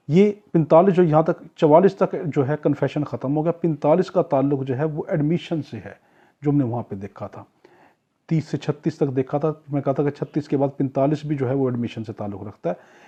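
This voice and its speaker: A man's voice: on the high side, mellow, breathy edge. A man with a Pakistani accent teaching law in an explanatory lecture, posing questions and answering them himself. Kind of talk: explanatory lecture with acted-out examples. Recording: dull, muffled lo-fi lecture recording.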